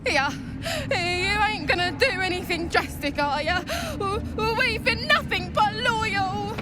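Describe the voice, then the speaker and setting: A very high-pitched, cowering little minion in a fantasy game. It whines and grovels in slow, pleading loyalty over loud music. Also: female voice. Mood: frightened, pleading. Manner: whiny, pleading.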